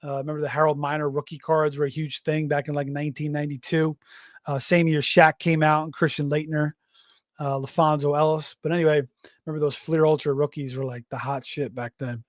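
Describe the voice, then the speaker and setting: A middle-aged man hosting a fantasy football show in casual live-stream talk, slightly hoarse, rambling off on tangents with plenty of fillers and restarts. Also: a little high, little pitch movement, fairly quick, no room sound, clean studio sound. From Florida. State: slightly hoarse, voice going raspy.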